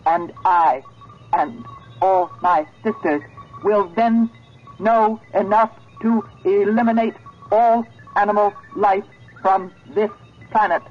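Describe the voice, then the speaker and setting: An actress playing a coldly menacing, sentient female computer in a 1970s radio drama, speaking slowly, deliberately and imperiously. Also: medium pitch, faint music behind. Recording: dull vintage radio drama recording.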